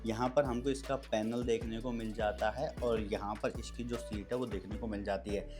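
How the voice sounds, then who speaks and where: A man's voice: on the low side, fairly even intonation, normal speed. Man explaining, music behind him. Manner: explanatory, step-by-step, addressing viewers as friends. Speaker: product reviewer and video host. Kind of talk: casual product review demonstration.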